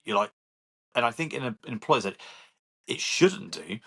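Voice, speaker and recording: A man's voice: mid-range voice, big pitch swings, moderate pace, creaky, bright, forceful tone, a little hiss or hum. A man co-hosting a podcast, chatting casually and giving firm opinions while talking over others. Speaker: podcast co-host. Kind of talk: casual chat.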